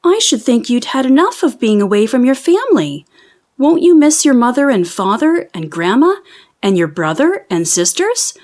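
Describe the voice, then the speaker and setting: Inquisitive storybook son played by a female reader, earnest and questioning, lively and lightly pitched. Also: moderate pace. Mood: curious, teasing. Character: inquisitive, chatty son and companion of a traveler.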